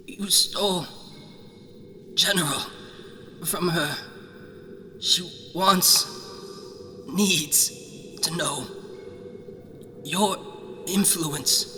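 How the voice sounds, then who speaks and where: A man playing a delirious, dying royal guard in an audio drama, gasping out halting, broken last words, barely conscious. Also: on the high side, pushed and bright, a little hiss or hum, heavily acted. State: barely conscious, struggling for breath.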